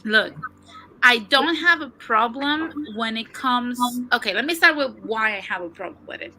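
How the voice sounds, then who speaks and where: Bright, high-voiced woman thinking aloud. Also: expressive, normal speed, slight background noise, poor audio. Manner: thinks aloud, restarts her points. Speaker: guest on a livestream. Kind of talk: casual opinion discussion.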